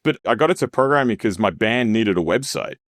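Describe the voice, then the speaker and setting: A man in his thirties, a podcast guest telling his story in a relaxed, rambling way and laughing often. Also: mid-range voice, fairly quick, dry recording, studio quality. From Australia. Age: 30s. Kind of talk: casual storytelling interview.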